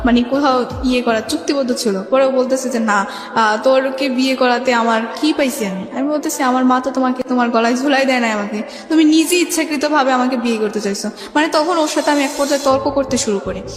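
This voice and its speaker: A young woman with a Bangladeshi accent telling her life story in a radio interview, halting and conversational, acting out remembered exchanges. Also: high-pitched. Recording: echoey reverb, faint music behind. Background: faint music.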